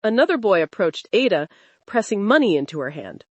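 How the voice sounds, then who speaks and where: A woman storyteller giving an expressive, suspenseful narration of a scripted dramatic folktale. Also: mid-range voice, fairly quick, no echo.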